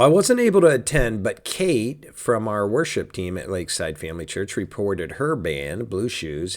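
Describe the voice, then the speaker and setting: A man with a raspy, hoarse voice from a sinus cold, hosting a casual church podcast in an easygoing, chatty way. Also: deep voice. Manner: easygoing, chatty, with many fillers. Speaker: podcast host.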